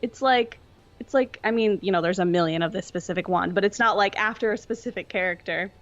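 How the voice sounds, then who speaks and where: A woman's voice: mid-range voice, brisk. Slightly dull-sounding woman chatting casually. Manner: informal, peppered with "like". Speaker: podcast guest. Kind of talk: casual chat.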